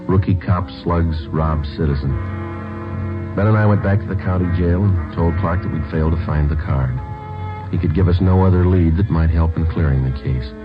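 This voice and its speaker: A man playing a stoic police sergeant in a radio drama, narrating and talking in a clipped, matter-of-fact way. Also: rumbling low. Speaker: narrator and lead police detective. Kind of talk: 1950s radio drama acting. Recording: dull old radio recording, music behind.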